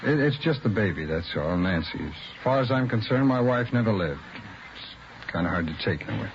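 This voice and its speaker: A man's voice: on the low side, animated, fairly quick, close to an everyday voice. An American actor playing a distraught, evasive working man and father in a vintage radio crime drama, hesitant and plain-spoken. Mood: sorrowful. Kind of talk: mid-century radio crime drama acting. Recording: muffled, noisy vintage radio recording.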